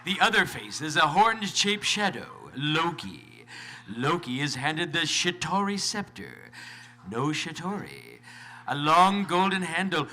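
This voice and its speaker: A man's voice: a little high, sing-song, takes it slowly, bright, forceful tone, soft background music. A man narrating stage directions dramatically at a live convention reading, with playful asides. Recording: live convention stage, clear background noise.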